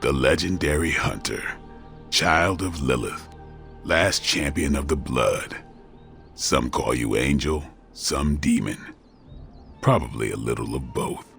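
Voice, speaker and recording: An actor playing a cool, gruff vampire hunter with a sardonic, dry manner in a video game. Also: male voice, rumbling low, takes it slowly, fairly bright tone, faint music behind, full character voice. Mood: matter-of-fact.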